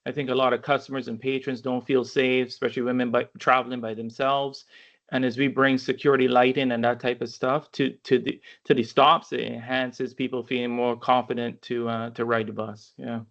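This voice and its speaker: Gravelly, flat-voiced man. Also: mid-range voice, a little fast. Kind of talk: meeting remarks. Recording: muffled lo-fi audio.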